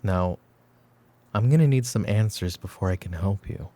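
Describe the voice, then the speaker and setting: Guy acting as a mafia boss for roleplay asmr, mellow low voice, calm n commanding, kinda brooding and teasing. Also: moderate pace, lightly acted. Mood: firm, reassuring. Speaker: voice actor. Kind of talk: roleplay ASMR audio drama.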